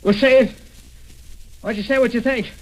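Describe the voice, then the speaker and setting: High-voiced, hot-headed young man. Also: steady tone, brisk, poor audio. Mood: angry, defensive. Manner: agitated, defensive, snapping. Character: hot-headed, jumpy, bitter young male heir. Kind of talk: 1940s radio acting. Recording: hissy vintage radio recording.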